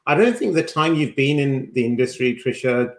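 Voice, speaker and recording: Man, echoey room, medium pitch, casual chat, dry teasing co-host. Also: lively intonation, normal speed. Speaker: co-host of a cybersecurity talk show. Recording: roomy, echoing sound.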